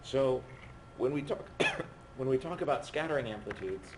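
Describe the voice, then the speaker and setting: A man giving a physics lecture while losing his voice, speaking haltingly and often breaking off mid-phrase. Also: mid-range voice, lively intonation. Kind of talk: university lecture at a board. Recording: noisy classroom recording.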